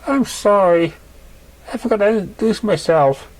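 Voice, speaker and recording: A man voicing a sweet, apologetic young pony mare in an amateur story reading, soft and politely flustered. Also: high voice, normal speed, pitched above the performer's own voice, lightly acted.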